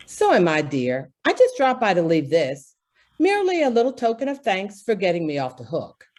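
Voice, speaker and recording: A woman's voice: mid-range voice, huge pitch swings, normal speed, a little mellow. An actress playing a gracious, slightly flustered lady friend in a 1940s radio drama, polite and effusive. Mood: grateful, gracious.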